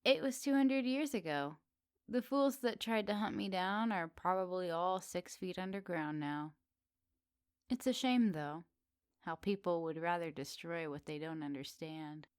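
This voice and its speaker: Woman playing a slow, teasing flirty witch. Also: on the low side, clean tone, dry recording, clean studio sound, natural voice. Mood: wistful, teasing. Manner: teasing, coy, expressive with soft pauses. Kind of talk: ASMR roleplay, addressed intimately to the listener.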